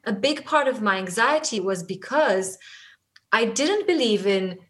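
A middle-aged Israeli-accented woman, a native Hebrew speaker, speaks as a podcast guest. She talks in an earnest, reflective way and often restarts mid-sentence. Her voice sits at an ordinary middle pitch. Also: lively intonation, unhurried, fairly bright tone. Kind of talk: conversational interview.

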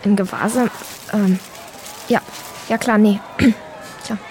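An actress playing a rattled, irritable young activist in a naturalistic audio drama, speaking bluntly and haltingly in everyday language. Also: female voice, medium pitch, fairly even intonation, background noise, lightly acted. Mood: unsure.

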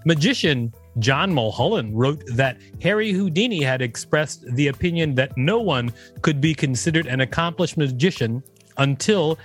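A man co-hosting a podcast, reading an encyclopedia page aloud and adding casual commentary. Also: fairly high pitch. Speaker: podcast co-host.

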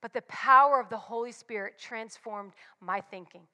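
Woman, forties, high and bright, candid church speaker, long reverb. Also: normal speed. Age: 40s. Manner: candid and confessional, emphatic repetitions. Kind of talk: personal devotional talk.

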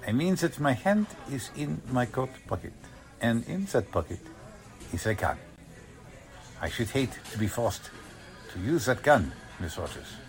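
An actor playing a coldly polite Nazi agent in a radio drama, with a German accent and formal, deliberate, threatening phrasing. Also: male voice, medium pitch, animated, gravelly, soft background music, low-quality recording. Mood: quiet menace. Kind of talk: 1940s radio drama acting.

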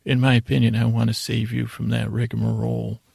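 A man with a heavily creaky, husky voice at a medium pitch hosts a bedtime podcast. He meanders and doubles back on himself in a halting, lulling monologue. The recording is dry and close, with no room sound. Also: completely flat delivery, darkish tone, no room sound. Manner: meandering, halting, self-interrupting, soothing intent. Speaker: podcast host.

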